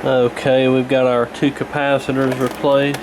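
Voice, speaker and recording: A man narrating a repair casually in an unhurried, halting, matter-of-fact way. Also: medium pitch, flat delivery, background noise. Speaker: radio repair hobbyist presenting.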